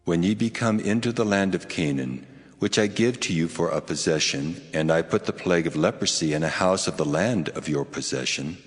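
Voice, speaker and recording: A man playing God as a solemn, commanding deity giving law in a dramatized scripture reading, with a husky voice. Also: sits low, normal speed. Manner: solemn, authoritative. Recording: long cavernous reverb.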